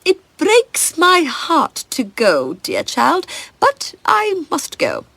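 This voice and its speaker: A woman's voice: high-pitched, huge pitch swings, slow, vocal fry, a bit bright, pitched above the performer's own voice, exaggerated voice. A woman voicing a scheming, theatrical noblewoman and stepmother queen in a fairy-tale storybook. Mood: falsely sorrowful. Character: scheming noblewoman, falsely tender, turned cruel stepmother queen.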